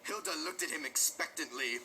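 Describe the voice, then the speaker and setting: Guy doing over the top dramatic narration, super bright forceful voice w some gravel, bit of noise behind it. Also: fairly high pitch, expressive. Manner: overwrought, melodramatic, drawn-out emphasis. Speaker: reader of a comic dramatic reading. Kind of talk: mock-dramatic scripted narration of a parody romance novel.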